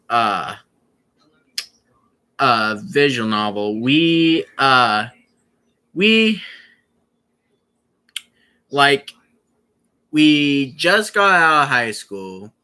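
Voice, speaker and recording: A young man talks to his stream audience in a slow, unhurried way, pausing often and trailing into fillers and restarts. His voice sits at an ordinary pitch with a bright edge. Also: animated, clear, resonant tone, poor audio. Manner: rambling, halting, many fillers and restarts. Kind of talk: casual live-stream chat.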